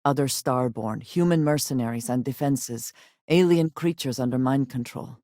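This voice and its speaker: Game companion: serious, guarded woman space explorer. Measured formal delivery, low register, very dry close sound. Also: dark tone, no room sound. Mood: grave, wary. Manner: measured, formal diction with few contractions. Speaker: video game voice actress. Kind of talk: video game character dialogue.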